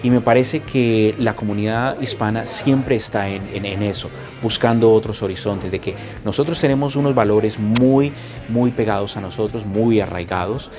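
A man in his thirties with a Colombian accent, speaking in a measured, hesitant way in an interview in a locker room. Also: fairly low pitch, over music. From Bogotá, Colombia. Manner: measured, hesitant with many fillers. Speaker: hockey color commentator. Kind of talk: interview answers.

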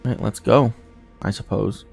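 A man's voice: on the low side, fairly even intonation, normal speed, vocal fry, darkish tone, breathy edge, faint music behind. A man casually commenting on his video game run, with chatty and exasperated asides. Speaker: gaming channel host.

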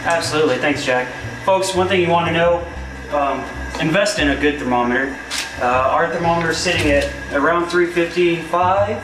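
A man's voice: on the high side, moderate pace, recorded from a distance. A young man working as a cook gives casual kitchen safety tips, speaking plainly with many fillers. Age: young adult. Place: commercial kitchen. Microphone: far camera. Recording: roomy kitchen from off-mic, noisy.

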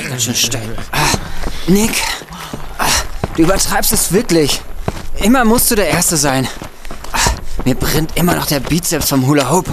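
A man's voice: on the high side, animated, measured pace, long pauses, very noisy. A young man playing a wry, hesitant student sidekick who grumbles, in a radio play. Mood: grumbling.

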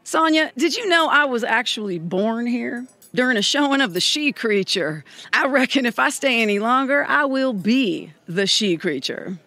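A woman's voice: on the high side, lively intonation, normal speed, few pauses, bright, forceful tone, no echo. An actress playing a wry, playful, folksy small-town country woman.

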